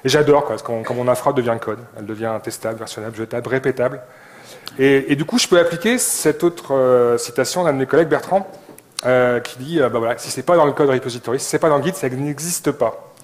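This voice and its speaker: Male conference speaker, medium pitch, brisk pace, colloquial with hesitant repetitions. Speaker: conference speaker, tech company employee. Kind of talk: informal co-presented tech talk. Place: live talk before an audience.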